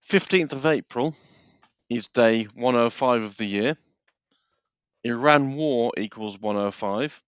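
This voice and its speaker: A man's voice: medium pitch, slow, pauses often, smooth, no echo. A man speaking casually as a guest on a live talk show, with lo-fi, muffled sound.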